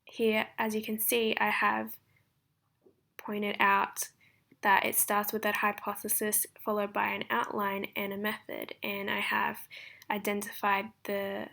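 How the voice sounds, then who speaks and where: Flat, gravelly-toned young woman presenting. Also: mid-range voice. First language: Japanese. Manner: formal, measured, read-through delivery. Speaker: translation student. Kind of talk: recorded class presentation.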